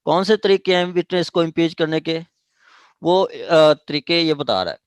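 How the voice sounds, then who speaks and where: A man with a Pakistani accent giving an online law lecture, explaining haltingly with repetition and switching into English legal terms. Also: a little high, expressive, clean tone, no echo. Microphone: online class call.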